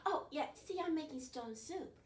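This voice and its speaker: A woman's voice: high-pitched, expressive, normal speed, a little hiss or hum, pitched above the performer's own voice. A woman voicing a polite, hungry, resourceful boy in a children's folk tale, eager and coaxing. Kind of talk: children's storybook telling. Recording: roomy, distant camera microphone.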